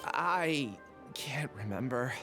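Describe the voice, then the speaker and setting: A man's voice: mid-range voice, animated, takes it slowly, over music. A man voicing a troubled young amnesiac assistant in a video game, hesitant, earnest and apologetic. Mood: troubled, unsure.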